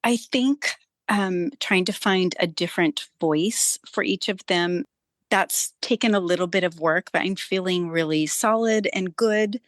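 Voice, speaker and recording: A woman, an actor chatting casually and candidly in an interview, quick to joke. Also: fairly low pitch, no echo, clean studio sound. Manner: jokey, candid. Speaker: actor, guest.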